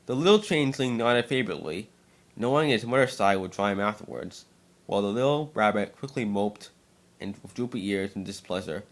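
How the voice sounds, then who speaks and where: A man's voice: fairly low pitch, animated, a little fast. A man narrating a scripted story as an amateur dramatic reading, sometimes stumbling over and repeating words.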